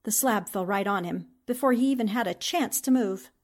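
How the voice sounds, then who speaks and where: A woman's voice: mid-range voice, normal speed, close to an everyday voice. A woman playing a plucky, determined newspaper reporter in a 1940s-style radio drama, with an earnest, dramatic delivery. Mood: shaken, recounting.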